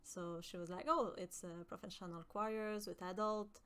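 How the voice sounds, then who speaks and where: A young woman from with a high voice, chatting with her stream viewers and often pausing with fillers. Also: fairly even intonation, a bit bright, clean tone. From Quebec. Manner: hesitant, many fillers and restarts. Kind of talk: casual chat with stream viewers.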